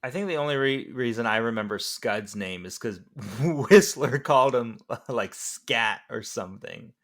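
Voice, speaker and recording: A man in a casual film discussion, talking in a joking, animated way. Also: mid-range voice, measured pace.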